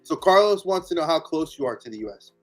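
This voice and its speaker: A man with a New York accent hosting a live chat, animated and friendly. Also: a little high, quick pace, soft background music. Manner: animated, friendly, says dude a lot. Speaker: host.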